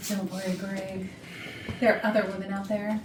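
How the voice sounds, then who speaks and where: A woman's voice: mid-range voice, level tone, normal speed, natural voice. A woman acting a flirty, catty, self-satisfied HR manager in an arch, sarcastic way at a comedy staged reading. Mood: flirty, coaxing. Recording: noisy roomy rehearsal, far from mic.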